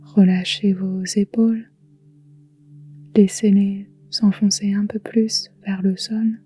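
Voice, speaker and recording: A woman guiding a relaxation meditation in a soft, whispery, calm and soothing voice, leaving long pauses. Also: mid-range voice, soft background music. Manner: soft, breathy, whispery delivery. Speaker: yoga nidra guide.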